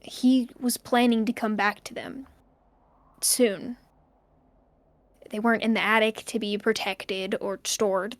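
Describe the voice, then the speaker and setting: A woman playing an anxious amateur investigator in an audio drama, dictating personal notes in halting, hesitant fragments. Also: a little high, no room sound, close to an everyday voice. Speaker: main character recording an audio journal.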